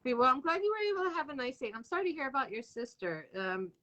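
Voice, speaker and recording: A woman's voice: sits high, big pitch swings, fast talker, sharp tone, highs cut off. A woman in her forties hosting a casual interview, with an informal, reactive way of talking. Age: 40s.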